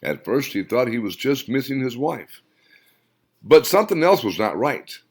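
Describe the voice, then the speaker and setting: A man, the book's author, narrating his novel in a slow, measured audiobook reading. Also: mid-range voice, sing-song.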